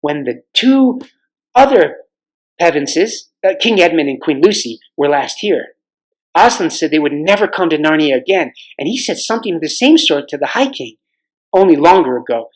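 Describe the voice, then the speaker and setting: A man's voice: fairly high pitch, sing-song, moderate pace, poor audio. A man voicing a frank, plain-spoken schoolgirl in a storybook, a little hesitant. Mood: earnest explaining. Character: frank, girlish schoolgirl adventurer.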